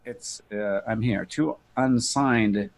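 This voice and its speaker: A man giving a casual software walkthrough to others on a video call. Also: lowish voice, slow, creaky. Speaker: participant demonstrating software.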